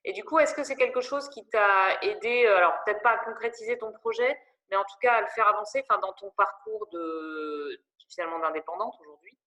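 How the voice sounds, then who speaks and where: Female interviewer, hesitant delivery, lots of fillers, mid-pitched voice. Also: a little fast, few pauses, bright, forceful tone. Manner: hesitant, many fillers and restarts. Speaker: interviewer for a women's network. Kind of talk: interview questions.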